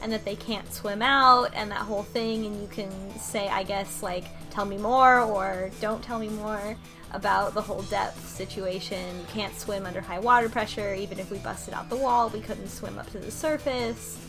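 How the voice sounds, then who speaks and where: A woman's voice: medium pitch, steady tone, normal speed, over music. A woman co-hosting a podcast, casually recapping a game's story and acting out bits of dialogue in an informal way. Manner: informal, quotes characters in dialogue. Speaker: podcast co-host.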